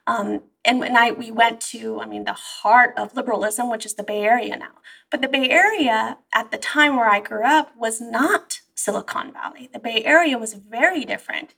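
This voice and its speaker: A woman, born in Iran and raised in the US, telling stories in an animated, conversational way as an interview guest. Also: on the high side, creaky, distant mic, rough recording. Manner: animated storytelling, quoting herself and her mother. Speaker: therapist guest.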